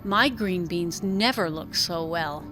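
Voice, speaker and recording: A woman's voice: on the low side, slow pace, over music. Woman reading as earnest young female student. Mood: polite. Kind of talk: audiobook character dialogue.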